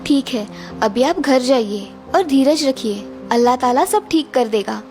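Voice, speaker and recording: Casting: woman as polite, capable young daughter-in-law, kids' cartoon; high voice, faint music bed. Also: lively intonation, a little slow, a little mellow. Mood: concerned, reassuring. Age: young adult. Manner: reassuring, polite. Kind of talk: children's cartoon story voice acting.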